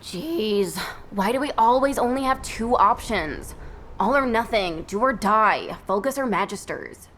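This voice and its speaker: An actress playing a sarcastic, exasperated young squad member in a video game, casual and griping. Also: female voice, on the high side, lively intonation, a little slow, fairly bright tone, slight background noise. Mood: exasperated, wry.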